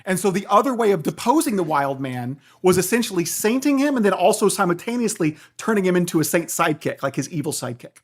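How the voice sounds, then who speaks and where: A man's voice: sits high, big pitch swings, fast, few pauses. Male professor explaining at length. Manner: professorial, checks in with "right?". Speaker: guest philosophy professor. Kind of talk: explanatory interview talk.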